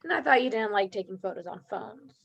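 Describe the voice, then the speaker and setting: A woman with a creaky voice playing a talking dog who was once a young woman, in a script table read. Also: mid-range voice, expressive, fast, fairly bright tone, dull audio, full character voice. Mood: soft, fond.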